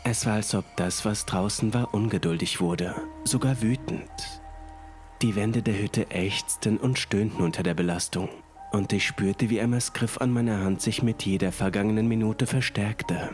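A man with a fairly low voice narrates a horror story. His delivery is deliberate and ominous, set over music and the sound of rain. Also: steady tone, darkish tone. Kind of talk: scripted first-person horror narration.

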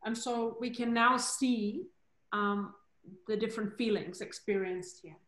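A woman's voice: a little high, a little slow, a bit bright. A woman co-facilitating an online workshop, explaining informally over a video call. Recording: echoey room on video call.

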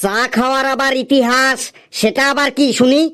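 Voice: high-pitched, steady tone, moderate pace, fairly bright tone, smooth. An actor playing a grumpy, stubborn tea stall keeper in a comedy cartoon, scolding and insistent. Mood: wary, insistent.

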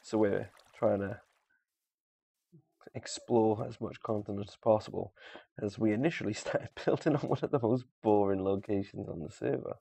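A man's voice: lowish voice, steady tone, normal speed, gravelly, warm tone. A man giving a casual, unscripted video game tutorial, informal and a little hesitant as he thinks aloud. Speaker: gamer presenting a video walkthrough.

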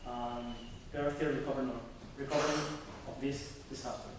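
A young man giving an academic conference presentation, halting and searching for words, often restarting his sentences. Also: medium pitch, little pitch movement. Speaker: conference speaker. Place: conference hall. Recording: echoey hall from far off with faint noise.

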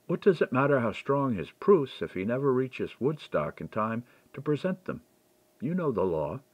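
A man's voice: medium pitch, sing-song, moderate pace, dry recording. Man voicing a silken, scheming medieval noblewoman. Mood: coaxing, sly. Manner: smooth, coaxing, persuasive.

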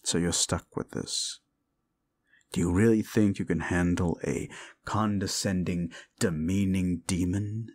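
A man's voice: sits low, steady tone, measured pace, darkish tone. A man playing a cruel, condescending demon in an audio roleplay, talking down to the listener with mocking, theatrical delivery. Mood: contemptuous. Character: condescending, cruel male demon summoned as a date.

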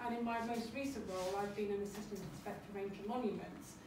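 A British woman giving an informal, slightly halting and self-effacing short presentation as a panellist. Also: medium pitch, steady tone. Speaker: heritage adviser on a panel. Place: lecture room, indoors. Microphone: far camera in the audience. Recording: echoey lecture room, distant mic, noisy.

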